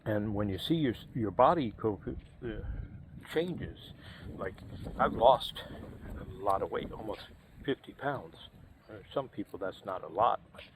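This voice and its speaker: A man in his fifties talking casually to camera, halting and full of fillers and restarts. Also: fairly low pitch, lively intonation, a little hiss or hum, poor audio. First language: English. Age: 50s. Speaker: vlogger. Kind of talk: casual personal talk to camera.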